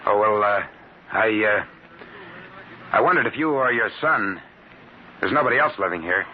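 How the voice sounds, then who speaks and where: An actor playing a hesitant young private detective who stammers, in an old radio drama. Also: male voice, on the low side, animated, moderate pace, natural voice. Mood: polite hesitant. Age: young adult. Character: nervous young private detective, drugged and scared. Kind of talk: 1950s radio drama acting. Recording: muffled old radio broadcast with hiss.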